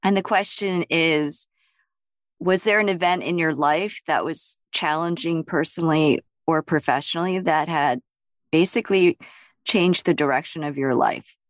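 A woman's voice: sits low, normal speed, no echo, studio quality. A woman hosting a podcast, speaking in a composed interview style. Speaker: podcast host. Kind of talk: scripted introduction and interview questions.

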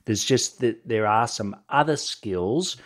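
A man's voice: fairly low pitch, takes it slowly, fairly bright tone. A middle-aged man with a head cold and a nasal voice, giving conversational advice as a solo podcast host, talking directly to the listener and often checking in with short tag questions. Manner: direct address with frequent tag questions like "right?". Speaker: podcast host and sales coach.

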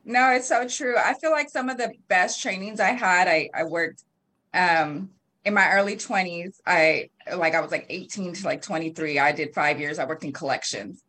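A woman's voice: on the low side, normal speed, pushed and bright, slightly rough, rough recording. A woman in her thirties talking casually as an interview guest, chatty with frequent laughs and fillers. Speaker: guest, marketing and PR company founder.

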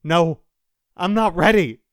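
A man's voice: medium pitch, sing-song, unhurried, dry recording, clean studio sound, voice pushed up. A man voicing a tender, earnest father who is desperately attached to his young son, in an audiobook story. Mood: desperate, begging. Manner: emotional, earnest.

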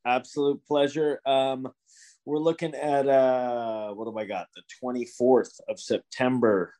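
A man's voice: mid-range voice, fairly even intonation, a little slow, warm tone. A man with a nasal voice and an upstate New York accent, hosting a podcast in easygoing, self-deprecating chat. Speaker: podcast host. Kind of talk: casual chat.

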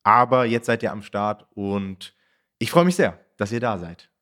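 A man's voice: lowish voice, lively intonation, moderate pace, a bit bright. A man hosting a podcast, greeting his guests and asking them open questions in a friendly way. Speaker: podcast host. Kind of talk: podcast interview.

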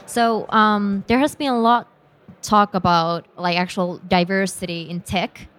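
A woman's voice: medium pitch, fairly even intonation, measured pace, a bit bright. A woman moderating a conference panel, asking questions in a loose way with fillers.

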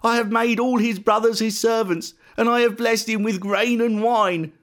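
A man's voice: sits high, very animated, moderate pace, pitched above the performer's own voice. A man voicing an elderly, grave and suspicious father in a Bible story, with a voice that quavers. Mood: grave, resigned. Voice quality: quavering when upset.

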